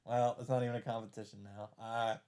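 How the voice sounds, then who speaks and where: A man hosting a let's play, chatting casually and reading game narration aloud, thinking out loud as he goes. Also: mid-range voice, little pitch movement, fast talker.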